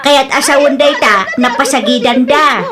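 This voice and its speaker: A woman's voice: high voice, moderate pace, crowd noise, close to an everyday voice. A woman acting an irritated, blunt neighbour owed money, with an Ilocano Filipino accent, in an audio drama. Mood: defiant, scornful. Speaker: radio-style drama actor. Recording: noisy background ambience.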